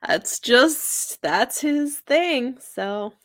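A woman's voice: high-pitched, lively intonation, drawn-out words, no echo. A woman co-hosting a book podcast, talking in casual, conversational chat. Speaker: podcast co-host discussing books.

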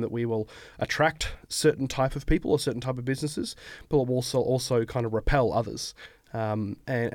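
A man's voice: mid-range voice, a little mellow, no room sound, clean studio sound. A man in his thirties with an Australian accent, a guest on a podcast, talking in a laid-back, rambling way with lots of fillers. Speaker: guest, accounting firm owner. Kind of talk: podcast interview. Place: studio.

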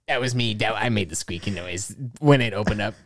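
A man playing an eccentric elderly retiree in his eighties on an improvised comedy show, halting, repetitive and pleading as he insists he is still young. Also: fairly low pitch, a bit bright, close to an everyday voice. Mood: eager, chipper. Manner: halting, rambling, repeats himself pleadingly. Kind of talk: improvised comedy character interview.

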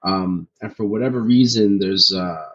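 A young man from New Jersey, a podcast guest, talks in a loose, rambling way and pads his sentences with "like" and "you know." His voice is bright and a little husky. The sound is muffled and lo-fi. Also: low voice, moderate pace. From New Jersey, USA. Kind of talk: casual interview chat.

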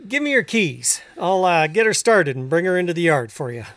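Laconic rural farm husband. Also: male voice, high voice, big pitch swings, a little fast, a bit bright, no echo, studio quality, exaggerated voice. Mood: practical, concerned. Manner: laconic, plainspoken. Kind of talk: naturalistic audio drama acting.

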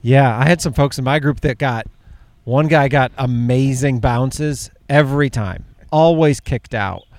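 A man's voice: medium pitch, measured pace, few pauses, darkish tone, no echo. A man from upstate New York hosting a casual interview, asking questions with enthusiastic restarts. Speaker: podcast host.

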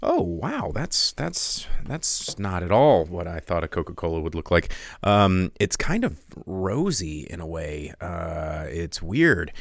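A man's voice: sits low, big pitch swings, slow pace, creaky, few pauses, no echo. A man hosting a podcast livestream in a casual, rambling way, with lots of ums and restarts and a loose, jokey tone. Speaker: podcast host.

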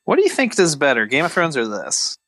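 A man's voice: fairly high pitch, wildly swooping pitch, brisk, a bit bright. A man co-hosting a podcast in casual banter, riffing in a joking, mock-indignant way. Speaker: podcast co-host.

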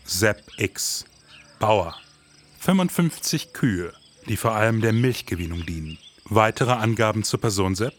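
An actor playing a laid-back, idealistic detective in a scripted noir audio drama, with casual, colloquial speech. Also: male voice, fairly low pitch, lively intonation, a little slow, a little hiss or hum. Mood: noir, deadpan.

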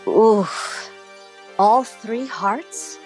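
A woman playing an earnest, halting grandchild giving a testimonial in an improvised spoof commercial. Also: fairly high pitch, dark tone, soft background music. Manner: slow, halting. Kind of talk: improvised parody radio commercial.

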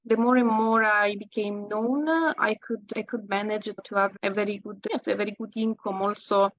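A woman in her thirties with an Italian accent, answering interview questions in halting English with many restarts. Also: mid-range voice, fairly even intonation, no echo, lo-fi sound. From Italy. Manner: halting, many restarts and fillers, laughs often. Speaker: guest, landscape photographer.

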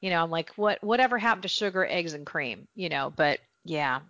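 A woman co-hosting a casual podcast as a travel agent, chatty and animated, laughing easily. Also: fairly low pitch, brisk, dry recording. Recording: dull, dry podcast audio.

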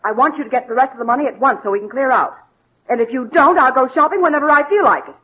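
A woman's voice: high voice, rapid-fire, slightly rough. A woman acting a restless, defiant, demanding accomplice in a 1940s radio drama. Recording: muffled vintage 1940s radio recording.

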